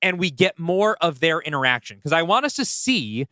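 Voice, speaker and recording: A man's voice: fairly high pitch, big pitch swings, normal speed, bright, forceful tone, no echo, studio quality. A man co-hosting a podcast, casually talking through ideas and explaining his thinking aloud. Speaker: podcast co-host and writer. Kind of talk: casual collaborative discussion. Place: indoors, two people seated across from each other.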